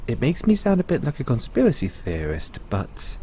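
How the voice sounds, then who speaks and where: A man playing a thoughtful, kindly owner of an android in an audio roleplay, musing and explaining things to the listener in a measured way. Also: mid-range voice, wildly swooping pitch, warm tone, slight background noise. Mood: casual, slightly secretive.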